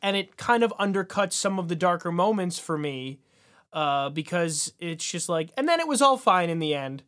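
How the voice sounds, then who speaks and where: Man, notably high voice, podcast co-host, casual review chat, slangy, wry. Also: expressive, moderate pace, a bit bright. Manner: colloquial, wry.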